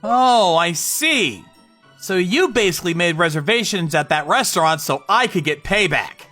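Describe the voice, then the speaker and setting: A woman's voice: lowish voice, lively intonation, moderate pace, a bit bright, faint music behind. A woman voicing an earnest young male CEO in a manga story dub, polite, hesitant and apologetic. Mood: friendly, hesitant.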